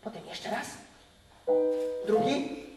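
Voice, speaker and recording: A woman narrating an improvised audio play in a dramatic, drawn-out way with repeated phrases. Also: far from the mic. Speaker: improv storyteller. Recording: echoey hall with long reverb, loud music.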